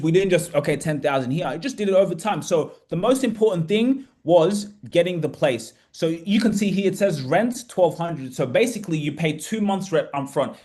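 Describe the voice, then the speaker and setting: Energetic man from Australia, slightly high voice, loose chatty coaching tone, frequent restarts. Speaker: business coach. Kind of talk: casual talk to camera.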